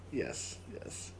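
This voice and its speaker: A man with a raspy, hoarse voice hosting a casual live stream and closing with a prayer, often stumbling and repeating words. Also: fairly high pitch, darkish tone, a little hiss or hum. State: hoarse from coughing. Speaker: livestream host.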